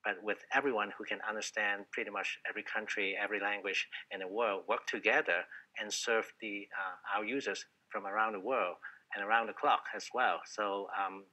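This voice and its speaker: A man's voice: fairly low pitch, steady tone, normal speed, pushed and bright, low-quality recording. A man from Hong Kong, a company founder, speaking hesitantly with many fillers on a panel. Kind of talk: panel discussion.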